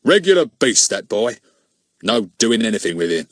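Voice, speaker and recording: A man voicing a grumbling, vengeful village policeman in an audiobook, muttering smugly in rough grammar. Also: fairly low pitch, sing-song, normal speed, dry recording, studio quality.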